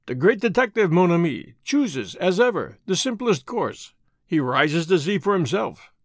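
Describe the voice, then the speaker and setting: A man's voice: sits high, sing-song, unhurried, dry recording, studio quality, exaggerated voice. A man voicing a fussy, vain Belgian detective with a French accent, pompous and precise in his speech. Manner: pompous, precise, self-satisfied. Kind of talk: audiobook character voice.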